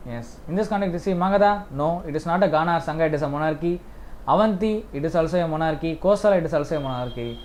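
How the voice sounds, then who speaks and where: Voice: medium pitch, quick pace, darkish tone, slight background noise. A teacher with an Indian accent lecturing through exam questions step by step, repeating points and often punctuating them with a short yes. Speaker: exam-prep history teacher.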